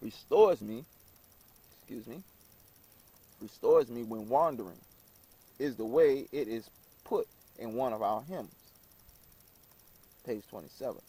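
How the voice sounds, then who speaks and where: Slow, mellow man reading aloud haltingly. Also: mid-range voice, lively intonation, slightly rough. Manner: halting delivery, stumbling and repeating phrases. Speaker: reader. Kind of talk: scripted reading aloud of an old book.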